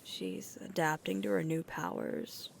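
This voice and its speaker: A woman playing a sweet, tired alpha werewolf girlfriend in an intimate roleplay ASMR, murmuring softly and breathily close to the microphone. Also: lowish voice, steady tone. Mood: concerned, gentle. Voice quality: breathy, creaky, often whispering. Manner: slow, soft, unhurried intimate murmur with pauses.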